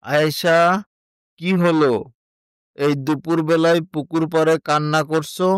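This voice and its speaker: A man acts out a kind, concerned male friend in an animated moral story. His voice is fairly high and clear, recorded dry. He talks sympathetically, like someone offering advice. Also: unhurried, no room sound, natural voice. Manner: sympathetic, advising. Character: kind, concerned male confidant of a mistreated girl.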